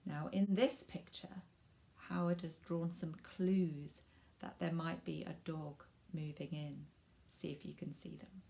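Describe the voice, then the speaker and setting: A woman reading her picture book aloud for children in an expressive, emphatic way, with asides to the young listeners. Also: sits low, slow pace, vocal fry. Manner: addresses young listeners directly. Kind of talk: children's storybook read-aloud with asides to viewers.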